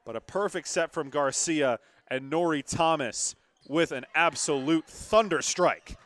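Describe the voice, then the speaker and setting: A young man calls a volleyball match live in a slightly high voice. He is emphatic, mixing the action with conversational remarks to his partner. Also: unhurried, fairly bright tone. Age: young adult. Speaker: volleyball play-by-play announcer. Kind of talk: live sports commentary.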